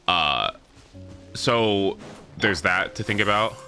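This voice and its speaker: A man's voice: on the low side, slow, poor audio. A man chatting casually on a gaming stream, rambling as he thinks aloud, with some swearing. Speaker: livestreamer. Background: game music.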